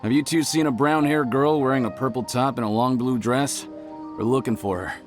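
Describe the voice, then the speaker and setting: A woman playing a brusque, urgent searcher on someone's trail in an animated game. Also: extremely low pitch, normal speed. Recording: music playing behind the voice.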